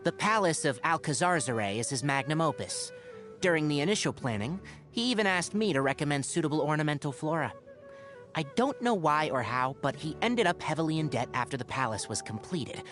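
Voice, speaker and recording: Game role: young scholarly forest-ranger mentor, articulate and dry, lightly high voice with plenty of melodic swing. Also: male voice, very animated, a little fast. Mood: dry, guarded. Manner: articulate, wry, gently lecturing. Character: young male fox-eared forest ranger mentor, scholarly, dryly exasperated. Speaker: video game character voice actor. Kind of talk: game character voice lines, conversational monologue. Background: background music.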